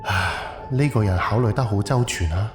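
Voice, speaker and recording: A man's voice: on the low side, moderate pace, close to an everyday voice. A man playing a wary, analytical haunted-house investigator thinking aloud in an audio drama, with a musing, questioning delivery. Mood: realising, analytical. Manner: musing, questioning, muttered self-talk. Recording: echoey reverb, music underneath. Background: background music.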